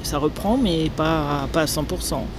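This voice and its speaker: A woman's voice: low voice, measured pace. Hesitant woman chatting on a noisy street. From Normandy, France. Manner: hesitant, soft-spoken, trailing off. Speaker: interviewee, local resident. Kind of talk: casual street conversation. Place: street outdoors. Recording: street noise and passing traffic.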